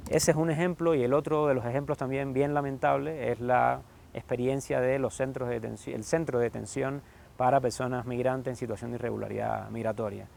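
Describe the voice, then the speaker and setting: A man's voice: medium pitch, steady tone, brisk, creaky, darkish tone, slight background noise. A man speaking formally and analytically in a documentary interview, criticizing detention conditions. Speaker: commentator or expert interviewee.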